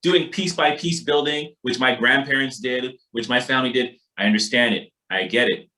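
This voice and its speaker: A man's voice: mid-range voice, moderate pace, pushed and bright, poor audio. A man from Barbados teaching a workshop as instructor, informal and motivational, with an emphatic, colloquial delivery. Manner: emphatic, colloquial, repeats catchphrases. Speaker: financial literacy workshop instructor.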